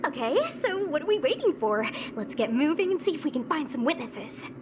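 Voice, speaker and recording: A woman's voice: high voice, big pitch swings, fairly quick, background noise. A woman voicing a spirited, protective young woman in a video game, brash, casual and confident. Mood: brisk, unbothered. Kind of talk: video game character dialogue. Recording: music behind the voice. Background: game music.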